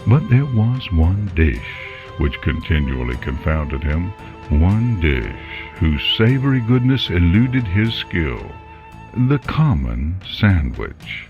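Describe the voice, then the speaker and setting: A man playing a grave, grandiose storyteller, narrating a legend with solemn, theatrical, measured phrasing. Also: sits low, sing-song, exaggerated voice. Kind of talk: dramatic scripted narration. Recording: dull sound under loud music. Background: music.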